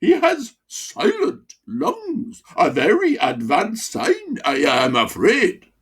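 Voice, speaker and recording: A woman's voice: mid-range voice, huge pitch swings, slow pace, slightly rough, voice pushed up, exaggerated voice. A woman voicing a pompous, posh-sounding male hospital consultant, lecturing in an exaggerated way.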